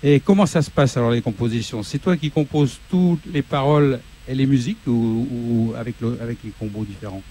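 A man's voice: mid-range voice, a little fast, hardly any pauses, mellow, low-quality recording. A man hosting a studio interview who keeps hesitating and restarting his sentences. He sounds like a live local show presenter talking to a guest musician on set. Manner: hesitant, many fillers and restarts.

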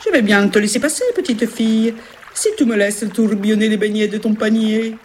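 A woman's voice: medium pitch, fairly even intonation, a little fast, a little hiss or hum, pitched above the performer's own voice, close to an everyday voice. A woman as a theatrical river spirit. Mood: bargaining. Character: courteous swirling male river spirit with Italian flourish.

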